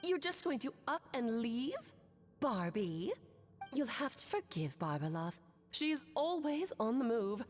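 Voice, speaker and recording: Playful, high-voiced doting witch. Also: very animated, unhurried, soft background music. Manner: lilting, affectionate, playful. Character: playful, doting, motherly female witch, lighthearted and teasing. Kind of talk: video game voice acting.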